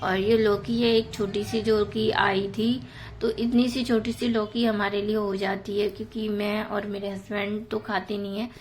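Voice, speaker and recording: A woman with a hoarse, strained throat talks casually through her day's housework, filling gaps with little fillers. Her pitch stays level and flat. Faint noise sits behind the voice. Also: moderate pace, rough recording. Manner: chatty, many fillers, addresses viewers as friends. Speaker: home vlogger. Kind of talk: casual voice-over narrating housework.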